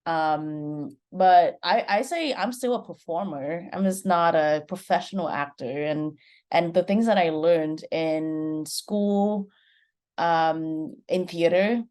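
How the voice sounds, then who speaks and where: Low-voiced woman with a Vietnamese accent. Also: takes it slowly, darkish tone, clear, resonant tone. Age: 20s. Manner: chatty, leans heavily on "like". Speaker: film director guest. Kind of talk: casual interview conversation.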